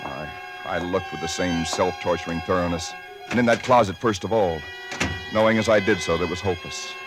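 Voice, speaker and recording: An actor playing a frightened man waiting alone in an empty house, telling his story in a hushed, haunted voice. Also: deep voice, fairly even intonation, a little fast, close to an everyday voice. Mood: dread. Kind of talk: 1940s radio drama narration. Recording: 1940s radio broadcast with loud music. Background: music score.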